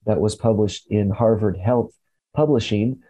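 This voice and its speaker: A man's voice: fairly low pitch, a little slow, mellow, dry recording, poor audio. A man hosting a show segment from home over a video call, upbeat and fond of puns, often laughing. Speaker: radio show host. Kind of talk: casual scripted health segment. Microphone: remote call from home.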